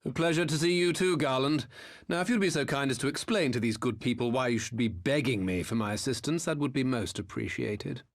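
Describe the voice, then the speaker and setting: A man's voice: medium pitch, brisk, natural voice. A man playing a smug, theatrical former imperial officer and master engineer in a video game, mocking with grandiose courtesy. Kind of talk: video game cutscene acting.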